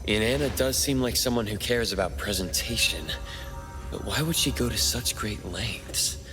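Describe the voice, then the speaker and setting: A man's voice: on the low side, moderate pace, lightly acted. A man playing an inquisitive, thoughtful investigator hero in a video game, questioning and pondering as he talks. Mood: puzzled, pondering. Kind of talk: scripted game dialogue. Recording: reverberant hall with music behind.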